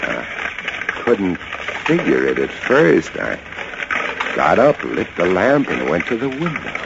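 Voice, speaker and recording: An actor playing a lighthouse keeper, narrating a horror tale with dramatic, breathless intensity and hesitant stammers in old-time radio style. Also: male voice, low voice, wildly swooping pitch, slow, vocal fry, noisy background, full character voice. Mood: hushed dread. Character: male lighthouse keeper recounting a terrifying rat siege. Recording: dull old radio recording under loud music.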